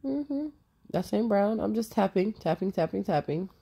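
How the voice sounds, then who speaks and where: A woman's voice: mid-range voice, expressive, moderate pace, vocal fry, mellow, breathy edge. A woman in her thirties, a makeup artist with a cold and a nasal voice, narrating her tutorial over the footage in a sassy, emphatic way. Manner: sassy, emphatic, talks to viewers as "girl".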